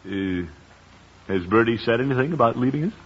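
An actor playing a pompous, good-hearted middle-aged American uncle in an old-time radio comedy. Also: male voice, mid-range voice, lively intonation, a little fast, husky. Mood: musing, fatherly. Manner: pompous, musing, theatrical. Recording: muffled old radio recording, background hiss.

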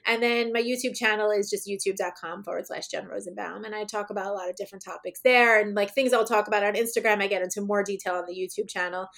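A woman guest in a casual interview, her voice slightly raspy today, talking in a rambling way with many fillers and restarts. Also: mid-range voice, fairly even intonation, fast talker, few pauses, a bit bright. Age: adult, mother of children.

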